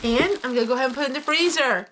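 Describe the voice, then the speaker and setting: Higher-pitched older American woman, chatty vlogger. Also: very fast, fairly bright tone, a little hiss or hum. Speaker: homesteading vlog host. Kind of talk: chatty vlog narration to viewers.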